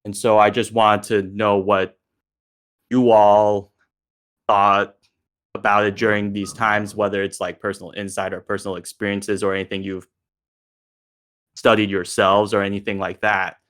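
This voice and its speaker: A man with a fairly low voice moderates a relaxed discussion. He talks informally and appreciatively, as a host addressing his guests. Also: moderate pace, long pauses.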